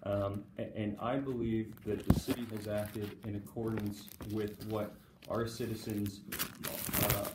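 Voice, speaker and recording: A man giving a formal, measured, bureaucratic statement as a city official at a hearing. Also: on the low side, little pitch movement. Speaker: city code compliance official. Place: indoor hearing room. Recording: echoey room, noisy rustling.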